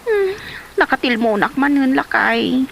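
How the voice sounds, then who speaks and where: A woman's voice: high voice, very animated, a little slow, creaky, a bit bright, slight background noise, full character voice. A woman playing a stubborn, playful pregnant wife in an Ilocano comedy drama, insistent and wheedling, with an Ilocano Filipino accent. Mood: playful insistence. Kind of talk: Ilocano comedy radio-style drama acting.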